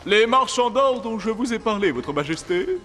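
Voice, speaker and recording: Ceremonious cartoon courtier in a reverberant hall. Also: male voice, sits high, big pitch swings, normal speed, a bit bright. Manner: ceremonious announcing. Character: formal, deferential royal court attendant. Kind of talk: cartoon voice acting. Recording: long hall reverb, music behind.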